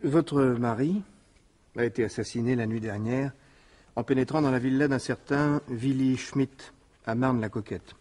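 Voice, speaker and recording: An actor playing a tactful police inspector in a French radio drama, questioning politely and carefully. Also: male voice, mid-range voice, moderate pace, a little mellow, natural voice. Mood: probing, tactful. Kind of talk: 1950s-style French radio detective drama acting.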